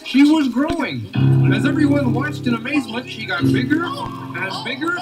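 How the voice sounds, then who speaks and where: A woman reading a children's storybook aloud with expressive, animated narration. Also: high-pitched, normal speed, loud music behind the voice, low-quality recording. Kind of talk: read-along story narration.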